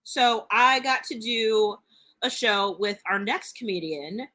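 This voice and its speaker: A woman's voice: on the high side, expressive, pushed and bright. A woman hosting a live comedy stream, chatting casually with comedians and laughing easily. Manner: upbeat, chatty, quick to laugh. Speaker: host.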